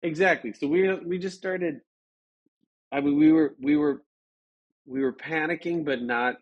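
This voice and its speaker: A man's voice: fairly high pitch, measured pace, dull audio. A man telling an anecdote as an interview guest, casual and agreeable, often stopping to correct himself.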